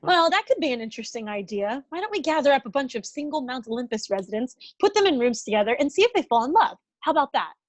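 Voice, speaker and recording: A woman's voice: sits high, sing-song, quick pace, few pauses. A woman playing an earnest, nerdy scientist who explains things defensively at a comedy table read. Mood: conciliatory.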